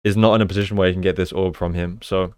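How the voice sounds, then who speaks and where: A man's voice: sits low, level tone, very fast, slight background noise. A man giving casual, conversational commentary as he analyses gameplay like a coach, explaining each move.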